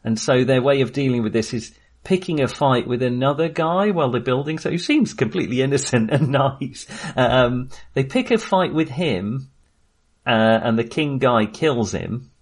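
A man's voice: mid-range voice, lively intonation, moderate pace. A man co-hosting a casual film discussion, talking in a hesitant, self-deprecating way. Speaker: podcast co-host reviewing films.

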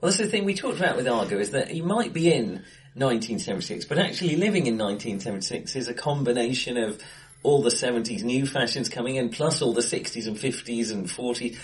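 A man's voice: mid-range voice, expressive, quick pace. Man, podcast co-host, mid-range voice, casual film talk, live indoor room. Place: indoor room, recorded face to face. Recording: acoustically bright live room.

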